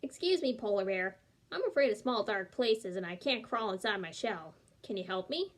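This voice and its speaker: A woman reading aloud, voicing a shy young boy turtle who is afraid of the dark, with a polite, pleading manner. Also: mid-range voice, expressive, moderate pace, lightly acted.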